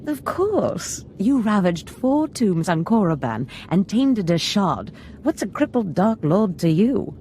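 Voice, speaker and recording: An actress playing a scheming, ambitious Sith lord mentor in a video game, theatrical and conspiratorial. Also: female voice, mid-range voice, very animated, slow, warm tone, a little hiss or hum. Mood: gleeful.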